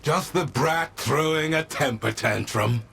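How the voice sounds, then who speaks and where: Gravelly, raspy old warlord. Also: male voice, a little high, unhurried, bright, forceful tone, heavily acted. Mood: scornful irritation. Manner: curt, disdainful. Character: grumpy, proud old warlord, curt and grudging. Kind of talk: video game cutscene acting.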